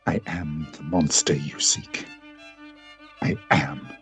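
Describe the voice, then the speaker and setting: A man playing a despairing, remorseful Victorian gentleman doctor in a solemn radio play monologue. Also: a bass voice, steady tone, faint music behind, full character voice. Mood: anguished, remorseful. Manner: solemn, halting.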